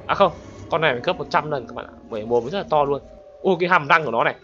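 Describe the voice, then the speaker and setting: Man, gaming host, bright forceful voice, excitable, talks to viewers, music in background. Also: a little high, racing, muffled recording. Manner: excitable, exclaiming, addresses viewers often. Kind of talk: gameplay commentary.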